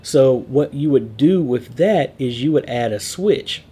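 Guy in his 30s doing a webcam tutorial, warm low-key voice, lots of um and so now. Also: a little slow, slight background noise. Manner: relaxed, explanatory, with fillers and self-corrections. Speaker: church media volunteer explaining networks. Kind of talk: casual screen-share tutorial.

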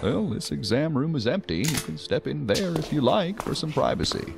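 A man's voice: on the high side, very animated, moderate pace, creaky. A man playing a genial, eccentric doctor in an audio drama, courteous and wry.

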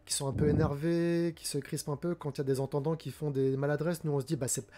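Man in his forties, streamer host, warm mellow tone, slightly high, headset mic. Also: fairly even intonation, fast talker. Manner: hesitant, many fillers and restarts. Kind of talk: casual live-stream chat. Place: home room indoors.

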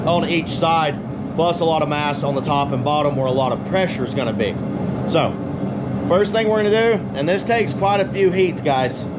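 A man giving a casual, step-by-step hands-on tutorial as an instructor, talking informally to viewers. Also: fairly high pitch. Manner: informal, addresses viewers as guys, explains step by step. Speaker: blacksmith instructor, host. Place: outdoor home forge work area. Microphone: camera on a tripod above the anvil. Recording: loud outdoor background noise.

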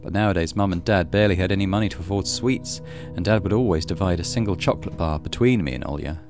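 Deep-voiced man narrating a visual novel. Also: fast talker. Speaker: let's play host, narrator. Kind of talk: reading visual novel narration aloud with casual commentary. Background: soft game music.

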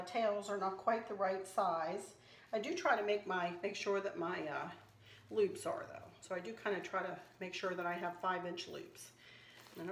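A slightly hoarse woman hosting a casual craft tutorial, chatting and teasing herself as she works. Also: medium pitch, echoey room, a little hiss or hum. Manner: chatty, self-teasing, talks while working. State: a little hoarse. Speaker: craft channel host. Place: kitchen counter indoors.

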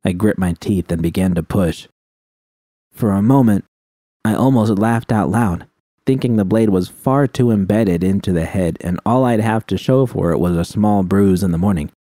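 A man reading first-person fan fiction aloud in a quiet, somber, measured way, close to the mic. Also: low voice, no room sound. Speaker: audiobook reader.

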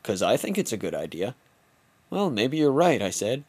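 A man's voice: medium pitch, big pitch swings, normal speed, a little mellow, breathy edge, no room sound. A young man narrating a home-made comedy film in the first person, with playful, mock-dramatic storybook delivery.

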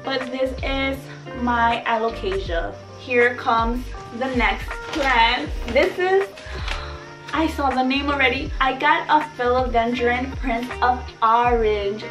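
A woman in her twenties chatting casually as a vlogger, informal and slangy with a self-deprecating streak, recorded on a camera in a bedroom. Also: high-pitched. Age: 20s. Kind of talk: casual chatty vlog narration. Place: small apartment bedroom, indoors. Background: background music.

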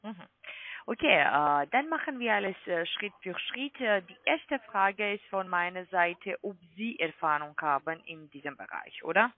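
A woman with a foreign accent in German, a non-native speaker, making an insistent scripted sales pitch as a call-centre agent, with repeated tag questions. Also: lively intonation, normal speed, no room sound. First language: not German. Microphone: phone call. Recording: muffled tinny phone line.

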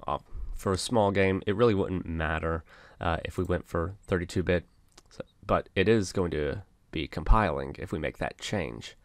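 A man narrating a casual software tutorial, explaining things step by step as an instructor. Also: deep voice, moderate pace, no echo.

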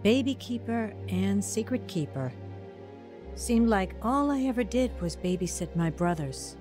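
A woman playing a narrator who looks back on her troubled youth in measured, reflective audio drama storytelling. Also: medium pitch, animated, over music, natural voice. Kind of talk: scripted first-person dramatic narration.

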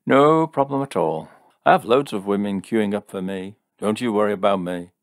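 A man's voice: sits low, moderate pace, vocal fry, dry recording, studio quality. A man voicing a hot-tempered, sneering young man in an audiobook story, blustering and sarcastic. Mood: sarcastic, bitter.

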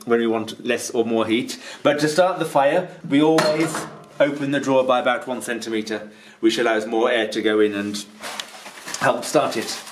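A man's voice: medium pitch, fairly even intonation, normal speed, noisy background. A man in his fifties, a holiday rental host, patiently explaining a task step by step with frequent ums, recorded on a handheld camera in a stone-walled kitchen. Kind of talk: instructional tutorial.

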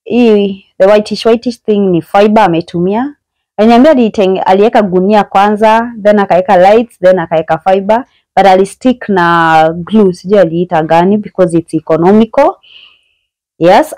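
A woman's voice: medium pitch, a little fast, warm tone, no room sound, rough recording. Chatty young Kenyan woman vlogger. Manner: chatty and admiring, mixing English with Swahili slang. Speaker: vlogger giving a house tour. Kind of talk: casual house-tour narration. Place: small indoor bedsitter room.

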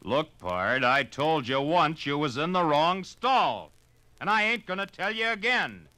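An actor playing a tough, threatening lumberjack with blunt, folksy talk in a 1950s radio drama. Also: male voice, fairly high pitch, big pitch swings, measured pace, pushed and bright, no room sound, exaggerated voice. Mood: menacing. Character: tough, menacing lumberjack warning a stall-stealer.

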